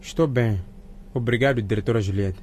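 Hesitant, sheepish schoolboy. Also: male voice, lowish voice, fairly quick, darkish tone, husky. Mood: sheepish, evasive. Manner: hesitant, subdued. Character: sheepish, polite teenage male pupil and class delegate. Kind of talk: radio drama acting.

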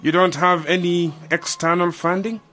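An actor playing a pompous, self-important government official in a radio drama. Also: male voice, high voice, fairly even intonation, normal speed, sharp tone, faint music behind. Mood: suspicious.

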